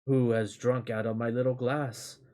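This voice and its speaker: Fairy-tale raven brothers, read by a man: earnest, searching, medium-pitched male voice. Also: flat delivery, moderate pace, darkish tone, close to an everyday voice. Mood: puzzled. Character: enchanted raven brothers, puzzled, hoping to be freed.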